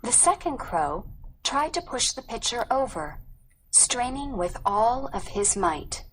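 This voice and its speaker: A woman reading a scripted storybook narration in a measured, careful manner. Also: a little high, big pitch swings, fairly bright tone, slight background noise. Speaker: audiobook narrator.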